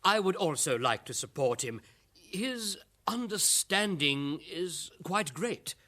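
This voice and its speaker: A fawning, high-voiced minister. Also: male voice, expressive, sharp tone, full character voice. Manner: obsequious, formal, deliberate. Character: fawning, smooth-talking government minister courting his queen. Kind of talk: satirical radio drama acting.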